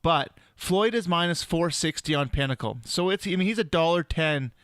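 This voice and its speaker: A man's voice: fairly high pitch, normal speed, fairly bright tone, no echo, studio quality. A man co-hosting a sports betting podcast, chatting eagerly through casual analysis of odds.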